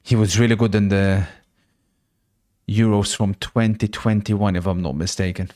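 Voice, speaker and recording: A man with a fairly low voice hosts a live football watch-along. He chats casually, reacts to the play and answers viewer messages. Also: steady tone, normal speed, dark tone. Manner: chatty, reacts to the match and reads out viewer messages. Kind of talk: casual live match commentary and chat.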